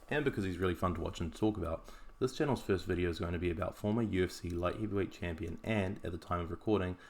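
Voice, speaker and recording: A man with a New Zealand accent hosting a podcast, reading a scripted narration with dry, wry asides. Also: deep voice. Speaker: podcast host.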